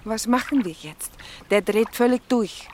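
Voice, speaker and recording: A woman's voice: fairly high pitch, a little slow, a little mellow, slight background noise, lightly acted. A woman playing an anxious, earnest young woman in love in a German radio play, emotional in manner. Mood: anxious, pleading.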